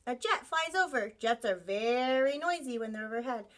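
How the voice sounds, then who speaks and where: A woman teacher reading a picture book aloud to young children in an expressive storytelling style, acting out sound effects. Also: fairly high pitch, moderate pace. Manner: animated, acts out sound effects, asks listeners questions.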